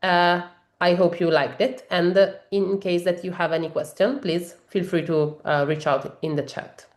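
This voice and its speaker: A woman with an Italian accent, a native Italian speaker, walking through a software demo in a webinar and explaining each step, with frequent fillers and restarts. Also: fairly low pitch, steady tone, moderate pace, echoey room. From Italy. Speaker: software trainer.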